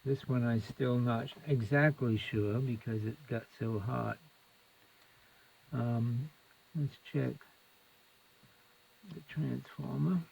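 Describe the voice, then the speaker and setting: A man's voice: mid-range voice, fairly even intonation, slow, creaky, pauses often, a little mellow, a little hiss or hum, low-quality recording. A man giving unscripted hands-on repair commentary, muttering and thinking aloud in short fragments as he works. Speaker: electronics repair hobbyist, presenter.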